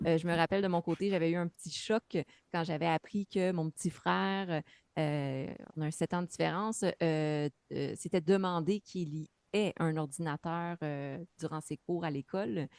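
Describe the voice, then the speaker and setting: A woman explains her field as a practitioner on a webinar panel, with many fillers along the way. Her voice sits low, and the sound is dry, close and free of room echo. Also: normal speed, darkish tone, smooth. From Quebec. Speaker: mental health practitioner on a panel. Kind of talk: webinar talk.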